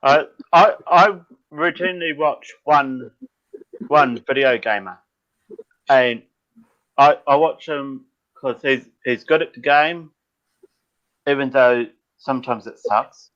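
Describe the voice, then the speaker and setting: A man chatting on a live stream who talks haltingly, with many stammered restarts. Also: medium pitch, fairly bright tone, muffled recording. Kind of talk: casual live stream chat.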